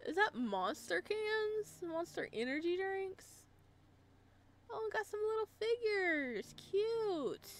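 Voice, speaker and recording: A woman's voice: very high-pitched, big pitch swings, takes it slowly, soft background music. A woman hosting a gaming video, reading a visual novel aloud and adding casual, slangy asides. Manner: informal, slangy, reacts in asides.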